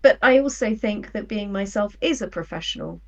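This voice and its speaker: A woman in her thirties chatting casually as an interview guest, telling things in an animated way and acting out imagined quotes. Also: medium pitch, a little fast, distant mic, lo-fi sound. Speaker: guest, writer.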